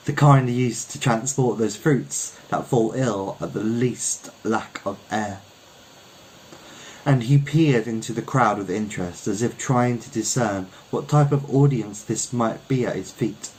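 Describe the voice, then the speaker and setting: A man's voice: medium pitch, a little slow, darkish tone, a little hiss or hum, rough recording. A man reading a literary short story aloud as its narrator. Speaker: story reader.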